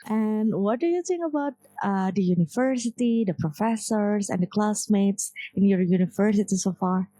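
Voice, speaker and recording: A woman's voice: mid-range voice, moderate pace, hardly any pauses, warm tone, no room sound. A woman hosting an interview, asking questions with small hesitations. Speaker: interviewer, host.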